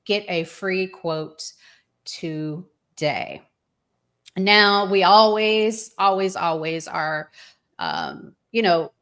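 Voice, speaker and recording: A woman's voice: on the low side, fairly bright tone. An American woman hosting a casual relationship talk show, conversational and repeating words for emphasis. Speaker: host.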